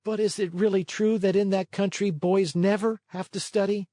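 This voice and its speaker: A man's voice: sits high, little pitch movement, normal speed, mellow, dry recording, clean studio sound, voice pushed up, natural voice. A man voicing a wooden marionette boy in a storybook, earnest, easily tempted and given to exclaiming. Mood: curious, worried. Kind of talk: storybook character voice.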